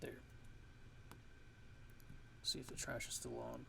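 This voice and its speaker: Flat, low-key man giving a halting tutorial. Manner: halting, rambling, thinking aloud. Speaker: music production tutorial host. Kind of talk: casual screen-recorded software tutorial. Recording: clear background noise.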